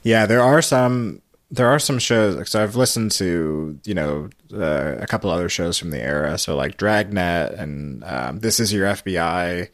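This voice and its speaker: A man in his thirties hosts a casual podcast in a notably low voice. The sound is close and dry. He explains things informally, in a conversational, informative way. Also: measured pace, no room sound. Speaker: podcast host. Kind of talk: casual conversation, explaining old radio trivia.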